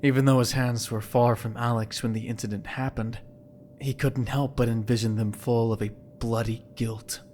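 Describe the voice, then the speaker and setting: A man's voice: medium pitch, fairly even intonation, moderate pace, darkish tone, a little hiss or hum. A man reading scripted, dramatic narration for an audio drama.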